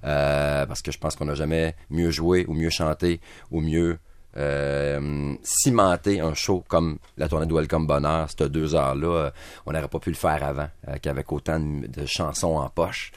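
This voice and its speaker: A man's voice: rumbling low, fairly even intonation, normal speed, dry recording. A man with a Québécois French accent, a band member guesting on a radio show, talking in an upbeat, joking way. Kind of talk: casual radio interview.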